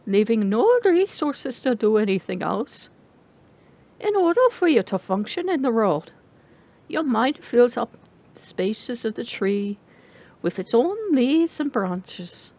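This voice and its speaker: Woman reading a novel as an intense lady professor, teachy and emphatic, highish voice, very lively, slightly muffled. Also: exaggerated voice. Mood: explaining. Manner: didactic, emphatic lecturing. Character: female robotics professor lecturing her class, intense, brisk.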